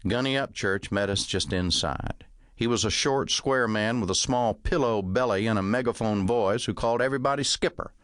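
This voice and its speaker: Low-voiced man narrating a comic novel. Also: normal speed, fairly bright tone, dry recording, clean studio sound. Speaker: audiobook narrator reading own comic novel. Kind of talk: scripted first-person narration.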